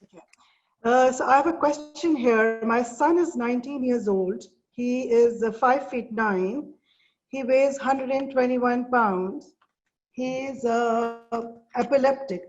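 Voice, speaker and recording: A woman's voice: fairly high pitch, slow pace, darkish tone. A middle-aged woman from Pakistan, a native Urdu speaker with a Pakistani accent, asking a question conversationally over muffled call audio. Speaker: caller asking about family health. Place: live video call.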